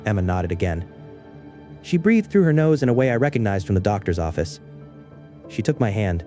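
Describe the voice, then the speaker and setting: A man with a low, mellow voice tells a creepy small-town story in scripted first person. His delivery is measured and understated, with soft music faintly underneath. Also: sing-song. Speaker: narrator.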